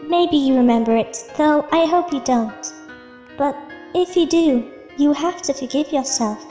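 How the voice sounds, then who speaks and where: A woman voicing a gentle, encouraging supporter, consoling someone in a dramatic comic dub. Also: high voice, unhurried. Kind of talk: dramatic reading of a written message. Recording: long reverb, music behind.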